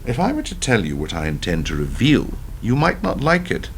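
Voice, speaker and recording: A man acting as a defiant, embittered ex-prime minister in a radio play, speaking in a deliberate, rhetorical, self-justifying manner. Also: lowish voice, huge pitch swings, a little hiss or hum. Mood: grave. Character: defiant, embittered male ex-prime minister telling his story.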